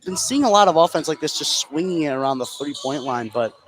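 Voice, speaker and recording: A man working as color commentator on a live high school basketball broadcast, giving conversational analysis between plays. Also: lively intonation, a little fast, background noise.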